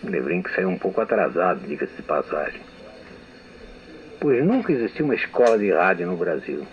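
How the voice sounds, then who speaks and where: Older male radio veteran, measured reflective delivery; thin phone-like archival sound. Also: sits low, very animated. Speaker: radio writer giving a testimony. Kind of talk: memoir reading. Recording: tinny lo-fi archival audio with hiss.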